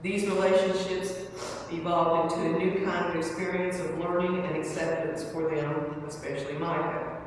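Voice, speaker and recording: Female memorial reader, halting measured delivery, distant in a reverberant hall with faint noise. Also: lowish voice, little pitch movement. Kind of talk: scripted memorial reading. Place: large church hall, indoors. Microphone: far from the speaker. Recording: echoey church hall, distant mic, noisy.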